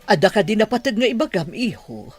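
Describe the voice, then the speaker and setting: A woman playing a concerned older mother with a husky voice in an Ilocano radio drama. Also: mid-range voice, sing-song, brisk, dry recording. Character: concerned older mother, questioning about her son.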